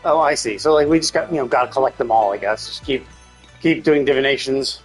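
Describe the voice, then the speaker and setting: A man's voice: mid-range voice, fast talker, slightly rough, faint music behind. A man streaming a game live with casual commentary, thinking aloud tentatively and correcting himself as he goes.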